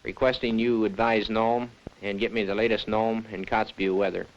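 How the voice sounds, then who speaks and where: A man with a fairly low voice speaks as a pilot on a two-way radio. His calls are halting and procedural. The old recording is dull, with clear noise behind him. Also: level tone. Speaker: small-plane pilot on radio. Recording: dull, noisy 1960 aircraft radio sound.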